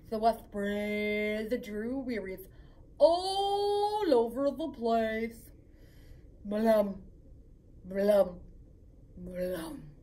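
A woman voicing a glum, gloomy male fish in a storybook reading, talking in a slow, mopey drawl. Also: a little high, mellow, far from the mic, rough recording.

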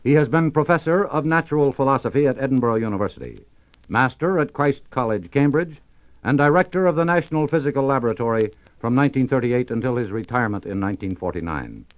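Formal male radio announcer, animated intonation. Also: mid-range voice, fast talker. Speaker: radio presenter. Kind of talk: scripted 1950s radio introduction.

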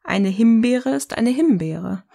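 A woman acting a self-assured, provocative partner in scripted audio-novel dialogue, speaking in a poised, deliberate way. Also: fairly high pitch, animated, vocal fry, warm tone, exaggerated voice.

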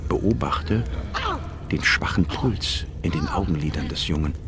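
A grave scientist telling his story in a radio drama. His voice is low and moves widely in pitch. Loud music runs behind him. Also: lively intonation, a little slow, exaggerated voice. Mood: hushed horror. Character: grave male scientist narrating a dreadful alien discovery. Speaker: first-person narrator. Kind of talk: scripted radio drama narration.

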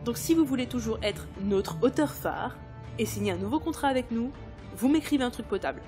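A woman playing a sharp-tongued, sardonic book editor in an audio drama. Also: on the high side, animated, brisk, lightly acted. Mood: scornful, exasperated. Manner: cutting, sardonic. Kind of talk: French audio drama acting. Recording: music behind the voice.

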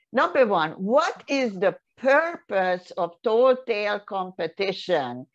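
A woman asking questions as an attendee in an online session, over lo-fi call audio. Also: a little high. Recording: dull, lo-fi video call audio.

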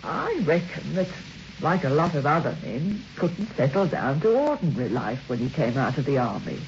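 A woman's voice: deep voice, sing-song, moderate pace, creaky, a little mellow, full character voice. An actress playing a gossipy, plain-spoken, working-class woman in an old radio drama, hesitant and matter-of-fact. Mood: pensive. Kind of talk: old-time radio acting. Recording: dull, noisy old radio recording.

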